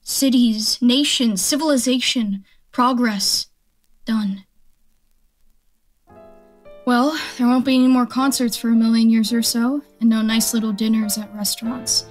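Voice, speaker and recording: A woman's voice: fairly high pitch, steady tone, unhurried, long pauses, faint music behind. A woman playing a defiant, survival-minded militia stranger in a radio play, talking in a blunt, folksy, speechifying way. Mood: grim, explaining. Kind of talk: radio drama acting.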